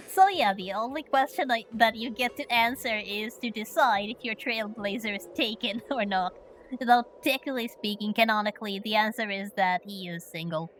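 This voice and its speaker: Chatty Finnish woman streamer, higher voice. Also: normal speed, a bit bright, slight background noise. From Finland. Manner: chatty and playful, musing aloud. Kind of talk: casual game commentary, reading questions aloud.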